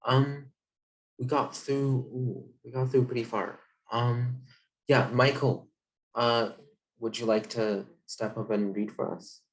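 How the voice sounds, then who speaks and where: A man's voice: medium pitch, fairly even intonation, takes it slowly, rough recording. A man casually hosting a reading group as its coach, speaking in an encouraging way. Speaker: reading club coach and host.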